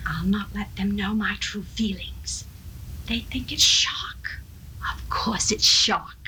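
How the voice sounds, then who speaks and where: A woman's voice: medium pitch, takes it slowly, sharp tone, whispered, poor audio. A woman acting a cold, strong-willed Victorian spinster of thirty-two in a 1970s radio drama, with a brooding, imperious manner. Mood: entranced, cold.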